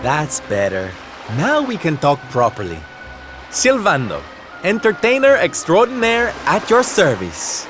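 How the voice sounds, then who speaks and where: Flamboyant showy entertainer, lively swooping voice, game dialogue over loud music. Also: male voice, a little high, measured pace. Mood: theatrical. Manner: theatrical, showy, calls people honey and darling. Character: flamboyant male entertainer, theatrical and cheerful. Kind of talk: video game cutscene dialogue.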